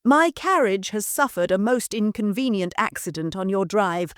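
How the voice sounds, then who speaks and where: A woman voicing a refined aristocratic gentleman with a smooth, cultured manner and a sinister edge. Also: mid-range voice, animated, normal speed, no room sound, studio quality, pitched above the performer's own voice, close to an everyday voice.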